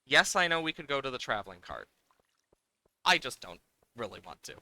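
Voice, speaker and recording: A man live-streaming a game, chatting casually with viewers in an animated, exclamatory way and sometimes swearing. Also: medium pitch, brisk, creaky, no echo.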